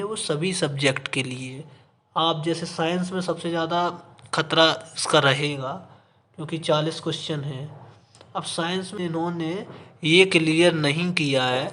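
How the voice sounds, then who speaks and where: A man's voice: a little high, a bit bright. A man teaching, reading out an official notice and explaining it, repeating phrases for emphasis and mixing in English terms. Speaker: exam coaching teacher.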